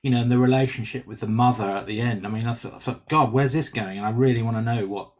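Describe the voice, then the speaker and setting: A man's voice: medium pitch, fast, darkish tone. A man, an actor on a reading panel, giving casual spoken feedback in an enthusiastic, encouraging way.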